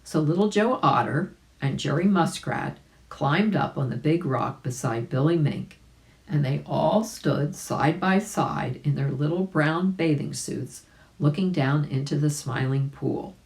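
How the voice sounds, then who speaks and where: Slow, low-voiced woman reading a children's story. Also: creaky, darkish tone. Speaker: volunteer storybook reader. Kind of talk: children's story read-aloud with asides to listeners.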